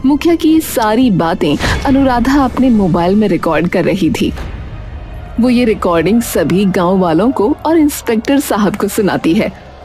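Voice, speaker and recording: A woman's voice: medium pitch, expressive, moderate pace, mellow, soft background music. A woman reading scripted narration for a cartoon story.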